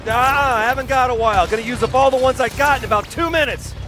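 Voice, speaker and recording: An actor playing a cocky, wisecracking young superpowered hero in a video game, informal and urgent. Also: male voice, extremely high pitch, fast talker. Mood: determined, urgent. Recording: game music behind the voice.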